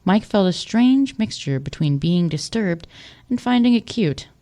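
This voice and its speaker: A woman's voice: medium pitch, expressive, a little slow, mellow. A woman narrating an audio drama from a script in storytelling prose.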